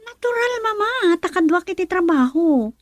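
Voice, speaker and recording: A woman's voice: extremely high pitch, big pitch swings, moderate pace, dry recording. An actress playing an earnest young woman in love, a daughter who stands by her choices, in a radio drama. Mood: pleading, defiant.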